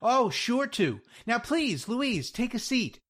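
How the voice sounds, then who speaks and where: Storyteller acting nervous male sham therapist, comic story, very high strained pitch, stammering, husky. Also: sing-song, slow pace, fairly bright tone, exaggerated voice. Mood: flustered bluffing. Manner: stammering, croaky, desperate bluffing. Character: panicky, flustered male fake therapist.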